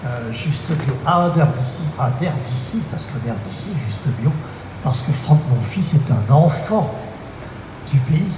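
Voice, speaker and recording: A man's voice: fairly high pitch, expressive, unhurried. Chatty man hosting in an echoey room. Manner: chatty, loose and repetitive. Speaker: radio host. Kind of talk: casual radio interview. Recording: echoey room with clear noise.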